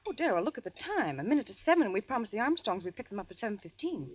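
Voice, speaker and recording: A devoted sweetheart in a vintage radio horror play. Her slightly husky voice swoops expressively, theatrical and pleading. The recording is an old 1940s broadcast. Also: fairly high pitch, big pitch swings, racing, recorded from a distance. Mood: puzzled concern. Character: loving, teasing wife or sweetheart, anxiously concerned.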